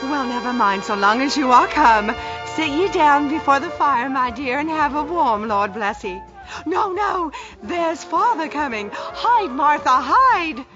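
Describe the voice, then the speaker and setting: A woman's voice: high voice, animated, unhurried. An actress playing a bustling, affectionate working-class mother in an audio play, with music behind her on a dull recording. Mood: affectionate fussing.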